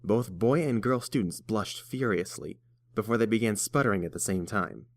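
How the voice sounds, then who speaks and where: A man's voice: lowish voice, normal speed, no room sound, studio quality. A man reading scripted story narration as the narrator.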